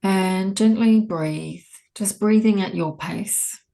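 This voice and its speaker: A woman leading a guided meditation, speaking gently and in a measured way with long pauses between soothing instructions. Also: mid-range voice, vocal fry, low-quality recording. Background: soft ambient meditation music.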